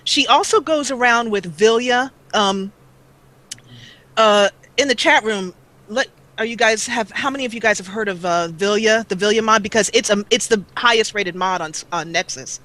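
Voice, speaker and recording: A woman guest on a live call-in interview, talking about her gaming videos in an animated, rambling way with frequent laughter. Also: medium pitch, normal speed. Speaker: guest, let's player. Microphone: call-in line.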